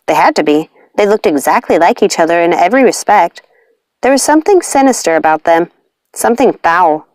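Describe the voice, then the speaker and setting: A woman's voice: on the low side, a little mellow, no room sound. A woman narrating a scripted story as an audiobook reader.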